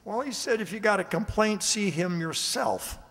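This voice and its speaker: Man playing a meek, gravelly, stammering guard. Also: high voice, expressive, moderate pace, fairly bright tone, exaggerated voice. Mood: rueful, confiding. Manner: stammering, deferential, folksy. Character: meek, hesitant male security guard, loyal and apologetic. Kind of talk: radio drama acting and narration. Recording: reverberant hall-like amateur recording.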